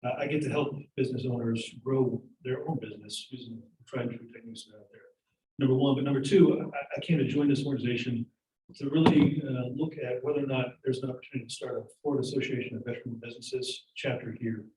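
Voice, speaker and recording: Veteran business owner, man, fast and brisk, distant roomy sound. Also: mid-range voice, steady tone, dark tone. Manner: brisk self-introduction. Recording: echoey meeting room, far from mic.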